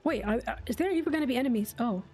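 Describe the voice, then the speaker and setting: A woman's voice: a little high, animated, racing, a little mellow. Chatty young woman gaming, faint music. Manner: chatty, thinking aloud, reacting to the game. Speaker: game streamer. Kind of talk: casual gameplay commentary. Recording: faint game music behind the voice.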